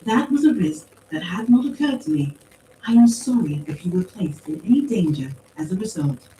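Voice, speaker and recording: A woman voicing a calm, formal machine intelligence in an audiobook story, with precise, measured phrasing. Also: mid-range voice, pitched above the performer's own voice, close to an everyday voice. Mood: politely regretful. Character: calm, coolly logical machine mind speaking through empty suits. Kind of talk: acted audiobook dialogue. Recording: roomy, distant pickup with faint noise.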